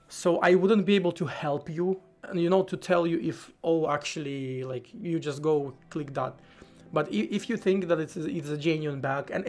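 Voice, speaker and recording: A man with a slightly high, gravelly voice talks casually on a live stream as he codes. He thinks aloud, stopping and restarting his sentences and leaning on fillers. Soft music plays underneath. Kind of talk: casual live-stream talk while coding.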